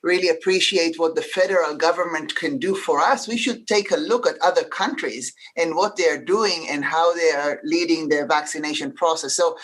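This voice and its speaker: Woman w/ israeli accent on a panel, earnest, lots of little uh pauses. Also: low-pitched. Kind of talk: expert panel discussion.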